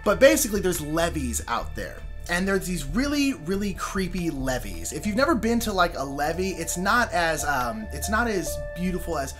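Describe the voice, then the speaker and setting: A young man with an American accent tells a story in a casual, chatty way. His pitch moves around a lot and his voice is bright and punchy. He talks informally and theatrically, with faint music behind him. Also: moderate pace, hardly any pauses. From Sacramento, California. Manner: animated, informal, dramatizes with exclamations and asides. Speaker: storyteller, show host.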